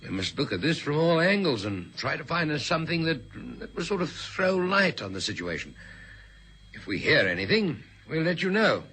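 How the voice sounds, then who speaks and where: An actor playing a courteous, probing police inspector in a radio drama, formal and quietly insinuating. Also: male voice, a little high, sing-song, breathy edge, heavily acted. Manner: formal, polite, deliberately insinuating. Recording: noisy old radio drama recording.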